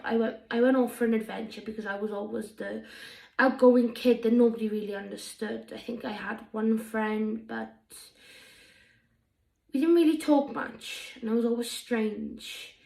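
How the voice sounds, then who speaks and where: A woman improvising as an earnest sixteen-year-old alien girl with a Welsh accent, telling her past in a halting, reflective way. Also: a little high, vocal fry, echoey room, low-quality recording. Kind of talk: improvised in-character monologue.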